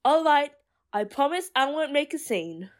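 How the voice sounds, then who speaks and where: A woman's voice: high voice, very animated, unhurried. A woman playing a stubborn, hot-headed young woman with powers in an audio drama. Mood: grudging.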